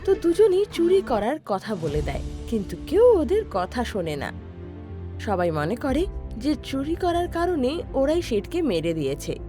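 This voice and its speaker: A woman's voice: high voice, wildly swooping pitch, measured pace, music in the background. A woman reading scripted narration for a cartoon story as its narrator.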